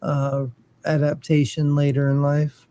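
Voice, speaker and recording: Male co-host, soft dark tone, unhurried delivery, halting conversational style. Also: fairly high pitch, completely flat delivery. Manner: hesitant, halting, restarts often. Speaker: podcast co-host. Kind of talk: casual chat.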